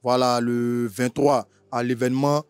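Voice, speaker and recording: A man's voice: medium pitch, little pitch movement, measured pace, clear, resonant tone, no echo. A man with an Ivorian accent hosting an informal interview, chatty, using street slang and often rephrasing his questions. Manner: chatty, repeats filler tags and rephrases questions.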